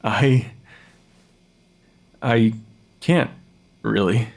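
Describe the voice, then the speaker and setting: A man's voice: on the low side, extremely slow, gravelly, heavily acted. A man playing a clever, slightly awkward forensic pathologist with an analytical manner, acted naturally for an audio drama. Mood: flustered. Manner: analytical, hesitant when cornered. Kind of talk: naturalistic audio fiction podcast acting.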